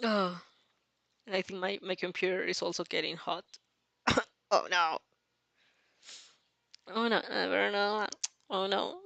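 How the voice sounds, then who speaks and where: A woman's voice: mid-range voice, steady tone, takes it slowly, gravelly, long pauses, a bit bright, no echo. A woman casually reading fan fiction aloud and commenting as a reaction host, playful and exclamatory, easily breaking into giggles.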